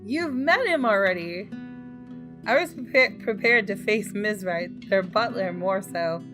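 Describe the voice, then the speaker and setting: Gamer girl reading a visual novel out loud, expressive, normal pitch, guitar music behind. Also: measured pace. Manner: expressive, reacts aloud to the story. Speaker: gaming YouTuber.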